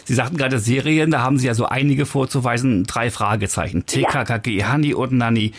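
Man, mid-pitched, brisk, husky, conversational radio interviewing.

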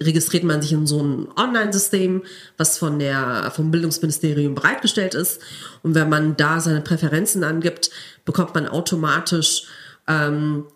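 A young woman from Cologne, a teacher, chatting as a podcast guest and telling stories with laughs. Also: low voice. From Cologne, Germany. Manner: storytelling, laughs while telling. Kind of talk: casual podcast chat.

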